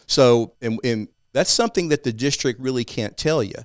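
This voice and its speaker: A man's voice: mid-range voice, animated, normal speed, no echo, clean studio sound. A man from East Texas with an East Texas accent, a guest talking casually in an interview and often checking in with "right?".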